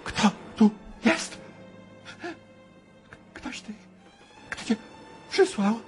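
Frightened half-mad prince, very high tremulous voice, slow halting delivery, radio play with music underneath. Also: male voice, expressive, heavily acted. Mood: desperate pleading. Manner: pleading, repetitive, agitated. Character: frail, frightened, half-mad old prince in a haunted castle. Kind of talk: radio drama acting.

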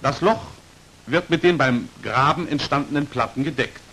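A man's voice: mid-range voice, moderate pace, sharp tone, rough recording. A man narrating an old 1940s instructional film in a precise, formal manner, on an aged film soundtrack. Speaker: narrator of a military training film. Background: hiss.

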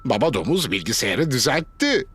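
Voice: mid-range voice, very animated, moderate pace, noisy background, close to an everyday voice. An adult narrator telling a children's cartoon story in simple, explanatory storybook sentences.

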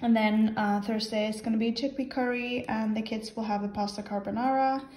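A woman's voice: a little high, steady tone, a little fast, darkish tone. A woman vlogger chatting casually with many fillers, explaining her weekly grocery plan at home.

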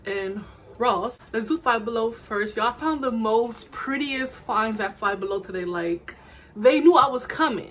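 A woman's voice: fairly high pitch, a bit bright. A young woman in her twenties, chatty and gushing, in a casual shopping haul vlog recorded at home. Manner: chatty, gushing, says "y'all" and "girl" a lot. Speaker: vlogger. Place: bedroom at home, indoors.

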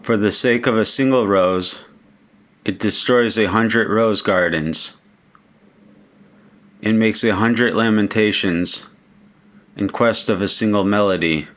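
A man reading translated poetry aloud like an audiobook, in a solemn, measured manner. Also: fairly low pitch, pushed and bright. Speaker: reader.

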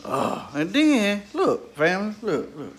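A man's voice: sits high, wildly swooping pitch, a little slow. Laid-back man hosting a family cooking vlog. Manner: laid-back, joking, addresses viewers as family. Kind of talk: casual family vlog chat.

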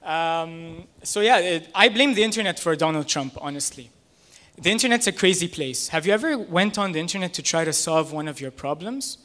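Man, 30s, high-pitched voice, stand-up comedian, conversational, self-deprecating, handheld mic, small club stage. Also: moderate pace, sharp tone. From United States. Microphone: handheld stage microphone.

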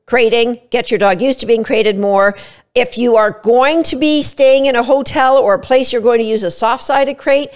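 A woman in her fifties, a dog trainer, giving chatty, emphatic travel tips straight to camera at a studio microphone. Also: a little high. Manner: chatty, emphatic, brief quoted asides. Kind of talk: casual instructional talk to camera. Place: small studio room indoors. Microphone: studio mic in front of her.

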